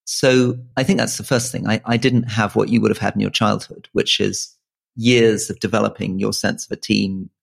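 A man who grew up in Malaysia co-hosting a casual political podcast, earnest and halting, often restarting and repeating words. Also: fairly low pitch, flat delivery, gravelly. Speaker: podcast co-host.

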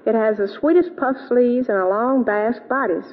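A woman's voice: a little high, normal speed. A woman speaking as a gushing guest in a scripted 1940s radio advertisement. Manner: bright, gushing enthusiasm. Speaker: guest in a radio soap commercial. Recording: tinny, reverberant old radio broadcast.

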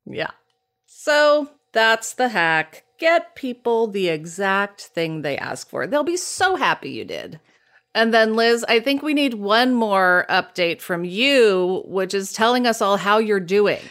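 A woman's voice: mid-range voice, big pitch swings, takes it slowly, fairly bright tone, smooth. A woman co-hosting a podcast in casual chat, laughing easily and saying "like" often. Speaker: podcast co-host.

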